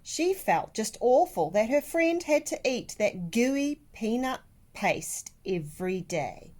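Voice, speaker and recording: A woman reading a children's picture book aloud like a teacher, with deliberate, expressive emphasis. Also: a little high. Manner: animated, deliberate, with dramatic emphasis.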